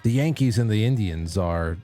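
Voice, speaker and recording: Male talk host, low register, informal and rambling, faint music underneath. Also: animated, moderate pace, mellow. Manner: informal, rambling, lightly profane. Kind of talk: casual solo livestream chat with ad read.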